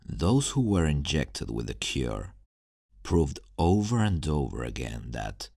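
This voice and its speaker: Male actor as bitter male supervillain scientist, slow deliberate delivery, dramatic swings in pitch, brooding, theatrical, ASMR roleplay monologue. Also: creaky, a little mellow, no echo, studio quality, exaggerated voice. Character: embittered male scientist turned supervillain, invulnerable, telling his past.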